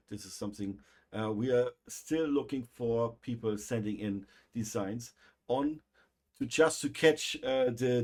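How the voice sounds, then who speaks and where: A man with a German accent, a native German speaker, hosting a livestream and chatting casually, with a halting delivery full of pauses and fillers. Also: mid-range voice, a little mellow. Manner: halting, many fillers and restarts. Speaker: livestream host.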